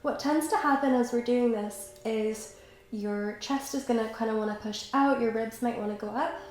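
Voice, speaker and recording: A young woman with a slight cold, calmly and encouragingly guiding a Pilates workout while she does the moves herself. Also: a little high, moderate pace. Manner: calm, encouraging, talks while exercising. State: has a cold, slightly stuffy. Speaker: pilates instructor. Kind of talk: guided workout instruction. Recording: very echoey room, faint background noise.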